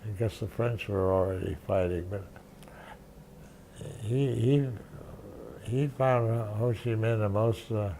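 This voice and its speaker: An older man recalls old reporting assignments, his voice sitting low. He stops and restarts often, hunting for words. A faint hiss runs under the recording. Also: steady tone, mellow, rough recording. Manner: halting, many restarts and fillers. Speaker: interviewee, veteran journalist. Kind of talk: reminiscing interview.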